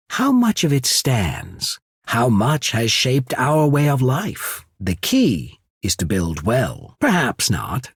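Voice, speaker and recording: Husky, calculating statesman. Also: medium pitch, no echo, studio quality. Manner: measured, formal, deliberate diction. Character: calm, calculating male statesman and spymaster, coolly ambitious. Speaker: video game voice actor. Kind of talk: scripted game dialogue.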